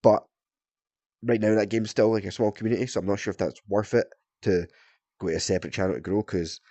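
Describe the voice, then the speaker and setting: A young man from Scotland with a partly softened Scottish accent, sick and a bit raspy, ranting casually about a game, swearing often and filling with "like". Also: fairly low pitch, steady tone, fast talker, dry recording. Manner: swears often, heavy filler "like", rambling. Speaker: gaming YouTuber.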